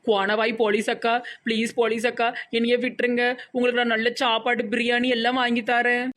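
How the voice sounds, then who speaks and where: A woman's voice: on the high side, level tone, a little fast, fairly bright tone, close to an everyday voice. A woman playing a whiny, scheming young village wife in a comic cartoon, wailing and pleading in Tirunelveli Tamil.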